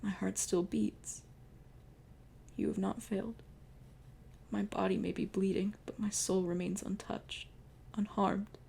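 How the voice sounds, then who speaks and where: A woman voicing a wounded young blond man, gentle and devoted, in a hushed, slow melodramatic delivery. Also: mid-range voice, little pitch movement, vocal fry, slight background noise, pitched above the performer's own voice.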